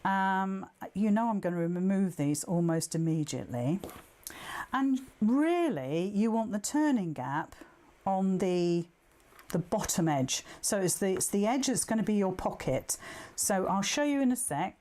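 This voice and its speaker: A woman's voice: medium pitch, animated, a little slow, warm tone. A woman giving a chatty live craft demonstration as a sewing tutor, bantering self-deprecatingly with viewers and talking through each step. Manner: talks aloud through each step, checking with okay. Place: indoors at a sewing machine.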